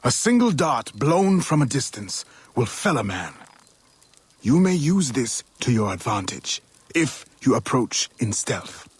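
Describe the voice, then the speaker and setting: A man voicing a solemn older mentor of a secret order in a video game, speaking in measured, formal phrases with a husky, raspy voice. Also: mid-range voice, big pitch swings, heavily acted. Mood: grave, instructing. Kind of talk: video game character acting.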